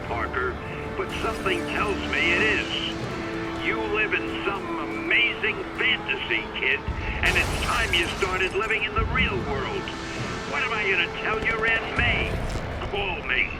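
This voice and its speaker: Exasperated man, sarcastic, lively intonation, video game voicemail, very loud music behind. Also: high voice, a little slow, poor audio. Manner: sarcastic, animated. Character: exasperated, scolding man leaving a phone message.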